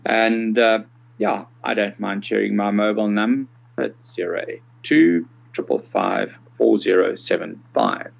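A South African man in his sixties with a lowish, gravelly voice. He explains things in a measured, conversational way as an interview guest. The recording is slightly dull and muffled. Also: lively intonation. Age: 60s. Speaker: interview guest, accessibility consultant.

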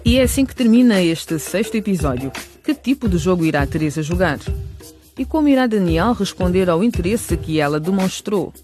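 A woman's voice: fairly low pitch, animated, brisk. A woman announcer reading scripted narration for a radio series. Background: music.

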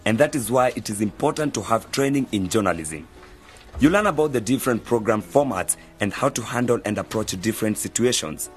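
An actor playing a young university student who patiently explains things in a measured way in an educational radio drama. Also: male voice, fairly low pitch, animated, faint music behind, close to an everyday voice. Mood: patient, instructive. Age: young adult. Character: calm, knowledgeable male university student mentor. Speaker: university journalism student mentoring novices.

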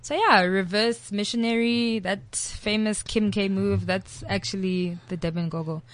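Young South African woman, animated guest, loose chatty storytelling. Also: medium pitch, unhurried, no echo. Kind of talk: casual interview chat.

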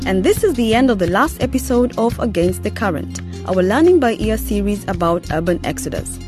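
A woman announces a radio series in scripted narration. Her pitch is average for a woman, and music plays clearly behind her voice. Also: expressive, brisk.

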